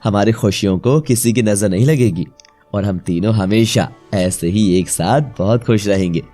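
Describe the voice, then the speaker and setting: A man's voice: on the low side, normal speed, warm tone, soft background music, lightly acted. A man voicing a devoted, emotional young husband and father, earnest and pleading, in a dramatised story. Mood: reassuring. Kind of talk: dramatised Hindi moral story acting.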